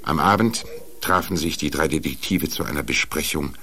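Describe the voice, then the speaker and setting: A man's voice: very deep voice, normal speed, bright, forceful tone, breathy edge, exaggerated voice. A man narrating a detective radio play in a matter-of-fact, scripted storytelling style.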